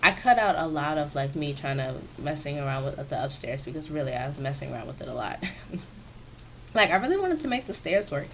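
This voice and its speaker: A woman with a raspy, stuffy voice, chatting casually and rambling with many fillers, recorded on a sensitive microphone in her home office. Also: deep voice, sing-song, fairly quick, a little hiss or hum. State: stuffy from sinus trouble. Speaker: video creator. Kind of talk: casual commentary over a speed-build. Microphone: new sensitive desk microphone.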